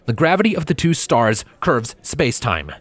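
A man's voice: medium pitch, very animated, normal speed, fairly bright tone, dry recording, voice pushed up. A man voicing a confident, eager male scientist explaining his theory, emphatic and undeterred, in a story reading.

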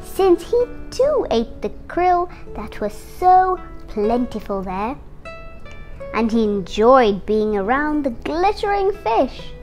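A woman's voice: high-pitched, big pitch swings, takes it slowly, darkish tone. An eight-year-old girl reading a picture book aloud with careful, dramatic phrasing, as a young storyteller. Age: child, eight years old. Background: instrumental music.

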